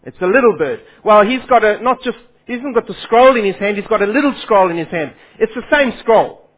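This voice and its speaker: A man's voice: sits high, lively intonation, a little fast, husky. A man preaching a Bible sermon, his Afrikaans, who poses questions to his listeners and then answers them. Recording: phone-like lo-fi sound.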